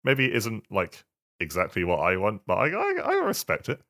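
A man's voice: lowish voice, huge pitch swings, normal speed, bright, forceful tone. A man chatting casually about video games as a podcast co-host, often stammering and repeating words as he goes. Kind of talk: casual video game chat.

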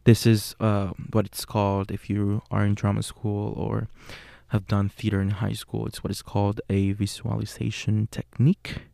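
A young man with a Puerto Rican Spanish accent hosts a solo podcast. His low, mellow voice carries a gravelly fry, and it sounds close and dry. He speaks in an earnest, unhurried way and often pauses on fillers to correct himself. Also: completely flat delivery, dry recording. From Puerto Rico. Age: young adult. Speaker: podcast host.

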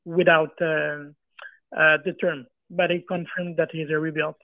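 A man's voice: on the high side, level tone, a little slow, no room sound. A man with a French accent, a native French speaker, calling in and talking in a hesitant, polite way. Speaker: caller. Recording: tinny phone line.

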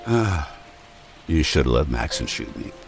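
An actor playing a dry, disapproving outcast soldier with a sardonic, deadpan manner in a radio drama. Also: male voice, a bass voice, a little slow, gravelly, soft background music, full character voice. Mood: gruff, serious. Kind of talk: old-time radio drama acting.

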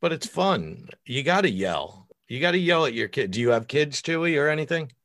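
A middle-aged American man with a deep voice, chatting casually and bluntly on a podcast as an opinionated, foul-mouthed guest. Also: sing-song, brisk, fairly bright tone, no echo. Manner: opinionated, blunt, crude joking. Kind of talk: casual, profane podcast chat.

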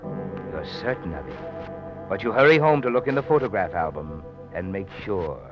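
A man's voice: deep voice, big pitch swings, normal speed, over loud music. An actor playing an ominous, all-knowing narrator in old radio suspense, insinuating and dramatic as he addresses the hero. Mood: urgent, ominous. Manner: dramatic, insinuating, second-person telling. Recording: dull old radio recording, musical backing.

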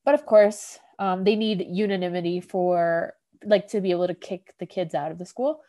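A woman's voice: lowish voice, fairly even intonation, normal speed, mellow. A woman podcast host casually recapping a TV episode, rambling with lots of "like" fillers. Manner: rambling, heavy use of "like", laughs often.

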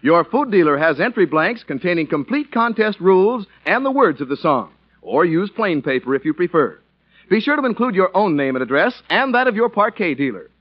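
A man's voice: sits high, very animated, fairly quick. A man announcing in scripted 1940s radio style, polished and emphatic. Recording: muffled vintage radio recording.

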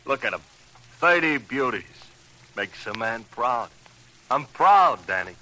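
A man's voice: mid-range voice, sing-song, slow, sharp tone, exaggerated voice. An actor playing an effusive, evasive retired crook who dotes on his pigeons and repeats his words, in an old radio crime drama. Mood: proud, doting. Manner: effusive, repeats words, coos over birds. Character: jovial, slippery ex-con pigeon fancier, doting and evasive. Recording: noisy old radio recording.

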